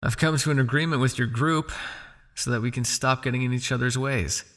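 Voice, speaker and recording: A man's voice: mid-range voice, steady tone, a little fast, lightly acted. A man playing a smug, possessive vampire crime lord in an ASMR roleplay, with a taunting, silky, condescending delivery. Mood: condescending, teasing. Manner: taunting, silky, condescending with sighs and soft shushing.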